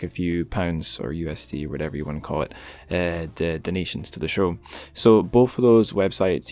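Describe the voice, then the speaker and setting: A male podcast host with a fairly low voice, easygoing and a little rambling as he chats. He slips in dry little jokes. Faint music sits in the background. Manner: relaxed, rambling, light joking. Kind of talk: casual podcast chat and plugs.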